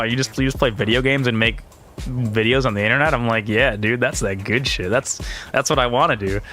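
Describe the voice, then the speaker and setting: A man's voice: medium pitch, sharp tone. A man in his thirties giving casual, rambling gameplay commentary in slangy, exclaiming speech. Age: 30s. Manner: rambling, slangy, often says "dude". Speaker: gaming video creator and streamer.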